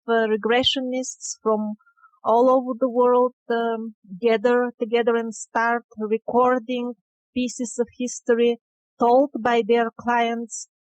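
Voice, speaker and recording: A woman guest explaining her research in an interview, speaking in a deliberate, halting and emphatic way. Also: on the high side, dry recording, low-quality recording.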